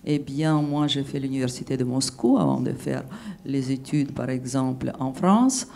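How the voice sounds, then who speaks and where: Woman panelist, gravelly fry in the voice, emphatic and persuasive delivery. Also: a bass voice, normal speed, dark tone, a little hiss or hum. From Russia. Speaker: panel speaker. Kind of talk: panel discussion answer.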